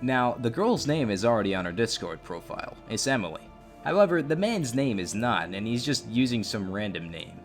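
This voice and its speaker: A man's voice: medium pitch, big pitch swings, a little fast. A man doing exclamatory online commentary, reading out chat messages and reacting with open disgust. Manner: exclamatory, openly disgusted asides. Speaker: YouTube host narrating a story. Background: background music.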